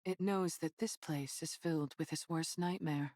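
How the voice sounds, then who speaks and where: Game heroine: young fighter, slightly low register, self-assured, playfully amused. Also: female voice, fairly even intonation, normal speed, a little mellow, no room sound, studio quality. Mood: amused, confident. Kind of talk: English game cutscene dub acting.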